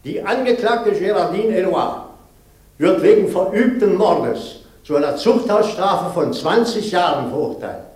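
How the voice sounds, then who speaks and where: An actor playing a solemn, stern judge, declaiming slowly in a 1950s German radio play with echoing sound. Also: male voice, high-pitched, animated, darkish tone. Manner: slow, declamatory. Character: solemn, stern male judge pronouncing sentence. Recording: roomy, echoing courtroom sound.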